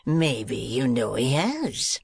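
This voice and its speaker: A man voicing a cruel, blustering middle-aged schoolmaster who sneers and bullies in a slow, menacing way. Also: mid-range voice, very animated, creaky, breathy edge, no room sound, exaggerated voice. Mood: sneering. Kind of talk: audiobook character voice.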